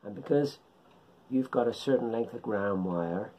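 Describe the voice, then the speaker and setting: A middle-aged man giving an informal tutorial in short, halting phrases, speaking at home into a camera microphone. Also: mid-range voice, expressive, dark tone. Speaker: amateur radio hobbyist presenter. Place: indoors, living room at home.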